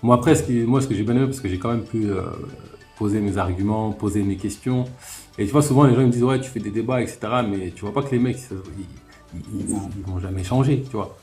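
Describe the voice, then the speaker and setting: A man's voice: lowish voice, fast, a little mellow, faint music behind. A man hosting a live online talk, chatting casually, laughing often and restarting his sentences. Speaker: host of live debate stream.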